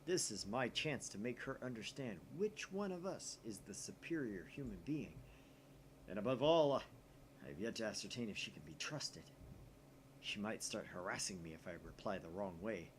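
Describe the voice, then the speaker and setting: Visual novel lead, read by a man: self-important paranoid young man, low and very animated, noisy recording. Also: normal speed, lightly acted. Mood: haughty, suspicious. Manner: pompous, dramatic.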